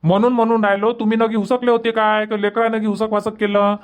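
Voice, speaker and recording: Man voicing a high-pitched exasperated cartoon husband. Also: little pitch movement, fast, clean tone, close to an everyday voice. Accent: Varhadi Marathi from Vidarbha. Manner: insistent, repetitive, reproachful. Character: exasperated husband and son in a village household. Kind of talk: cartoon comedy dubbing.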